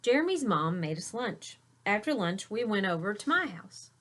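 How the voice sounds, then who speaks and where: A woman reading a children's storybook aloud like a teacher, expressive and deliberate, stressing key words. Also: medium pitch.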